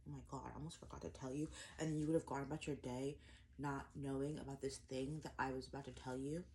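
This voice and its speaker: Low-voiced young woman rambling over breakfast. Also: steady tone, quick pace, darkish tone, a little hiss or hum. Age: 20s. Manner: rambling, self-deprecating, many fillers and restarts. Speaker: vlogger. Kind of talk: casual eat-with-me chat to camera. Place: indoors at home, eating breakfast.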